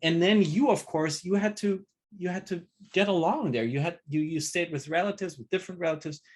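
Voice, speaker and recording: A man hosting an online interview, asking questions in a halting way with fillers and restarts. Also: high-pitched. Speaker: host. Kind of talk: interview host.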